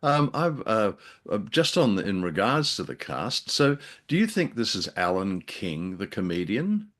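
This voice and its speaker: An older man with a fairly low voice hosts a casual review chat from indoors on a video call. He rambles a little, feeling his way through sentences with a wry, joking touch. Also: sing-song, measured pace, fairly bright tone. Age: older, around 70. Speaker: radio show host.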